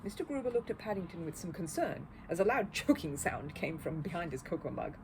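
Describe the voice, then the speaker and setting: A woman reading a children's story aloud as an expressive narrator. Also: fairly low pitch, a little fast. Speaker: storybook reader. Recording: clear background noise.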